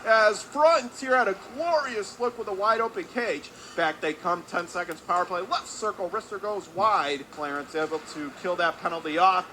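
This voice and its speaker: A man doing live radio play-by-play of ice hockey in clipped phrases with excitable calls. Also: high voice, moderate pace, few pauses. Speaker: play-by-play announcer. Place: indoor ice hockey arena. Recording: loud ambient rink noise.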